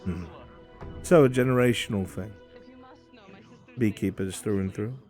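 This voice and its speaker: A man playing a solemn, philosophical imperial in a fantasy roleplay, speaking in a measured, brooding way with deliberate pauses. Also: lowish voice, darkish tone, natural voice. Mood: probing, polite. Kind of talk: improvised fantasy roleplay acting. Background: background music.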